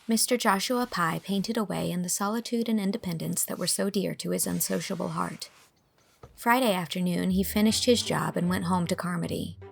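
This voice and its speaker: A woman's voice: lowish voice, moderate pace, vocal fry, breathy edge. A woman reading scripted narration for a classic storybook.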